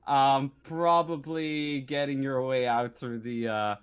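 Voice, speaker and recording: A man working as game master, improvising narration of a tabletop roleplaying game in a halting way, often stammering and repeating words. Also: medium pitch, fairly even intonation, smooth.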